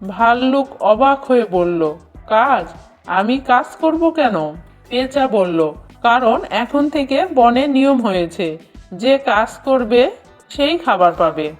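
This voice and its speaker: A woman narrating a children's cartoon story in a measured, expressive storytelling manner. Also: on the high side. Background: background music.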